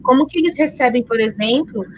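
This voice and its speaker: A woman's voice: fairly high pitch, brisk, highs cut off, slight background noise. A Brazilian woman speaking earnestly and with feeling in a panel discussion. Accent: Brazilian Portuguese. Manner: earnest, emotional, recommends readings.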